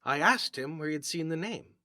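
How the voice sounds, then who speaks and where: A man reading an eerie classic short story aloud as scripted narration, with theatrical, deliberate phrasing. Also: on the high side, lively intonation, sharp tone, no room sound, studio quality. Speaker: story reader.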